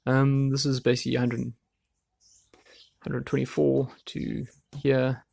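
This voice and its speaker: A man with a gravelly voice explaining a woodworking job casually, thinking aloud as he works. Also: mid-range voice, completely flat delivery, measured pace, a little mellow. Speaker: DIY woodworking video host. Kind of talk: casual hands-on tutorial talk.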